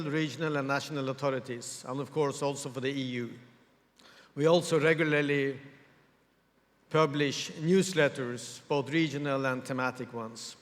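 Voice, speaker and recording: A man with a voice pitched a little high for a man speaks formally to a conference audience, measured and pausing often as he searches for words. His voice rings through a large hall with a long, trailing reverb. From Norway.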